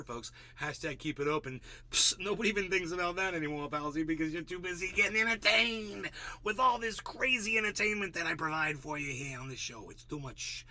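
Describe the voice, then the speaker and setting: Man, streamer host, bright tone, deadpan low-key chat. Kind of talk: casual livestream sign-off chat.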